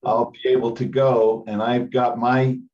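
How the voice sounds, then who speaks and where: A man's voice: medium pitch, little pitch movement, unhurried, a little mellow. A man chatting casually and anecdotally on an online group call. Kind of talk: casual chat. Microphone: online conference call. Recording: dull band-limited call audio.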